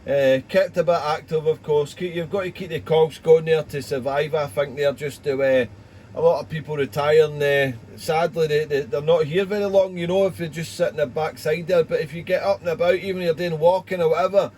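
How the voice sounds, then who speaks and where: A man's voice: a little high, fairly even intonation, fairly quick, a little mellow, slight background noise. A Welsh man in his forties chatting casually over ham radio, rambling and repeating words. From Wales. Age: 40s.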